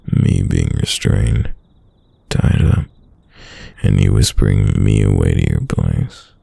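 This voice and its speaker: A man playing a devoted, soft-spoken boyfriend in an intimate late-night roleplay ASMR, murmuring tenderly and musingly in a deep, gravelly, breathy voice. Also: takes it slowly, dry recording, exaggerated voice. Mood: teasing affection. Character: devoted soft-spoken boyfriend whispering to his sleeping lover.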